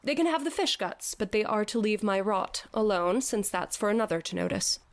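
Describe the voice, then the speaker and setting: A woman's voice: mid-range voice, lively intonation, fairly quick, lightly acted. Grandiose, addled theatrical woman, played by an actress. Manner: grandiose mock-Shakespearean declaiming. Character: dazed theatrical woman raving as Hamlet to a fish head. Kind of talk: scripted audio drama acting.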